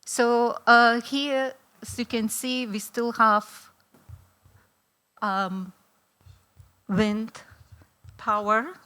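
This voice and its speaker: A woman's voice: a little high, steady tone, very slow, long pauses, sharp tone, smooth. A middle-aged woman with a Lithuanian accent giving a conference talk, hesitant with many fillers and restarts. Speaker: academic conference speaker. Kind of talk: conference presentation.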